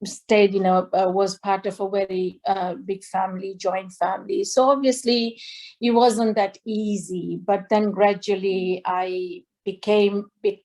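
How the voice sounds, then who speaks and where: A middle-aged woman from Tanzania, a singer on a video call, talking slowly with many fillers and restarts. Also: mid-range voice, flat delivery, darkish tone, rough recording. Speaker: guest singer. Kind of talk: interview over video call. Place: indoor home room.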